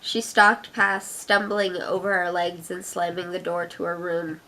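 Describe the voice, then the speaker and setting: A woman's voice: on the low side, little pitch movement, a little slow, pushed and bright, a little hiss or hum, low-quality recording. A young woman from Canada with an Ottawa accent, chatting through an accent challenge and reading her own fiction aloud in a giggly way. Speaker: vlogger.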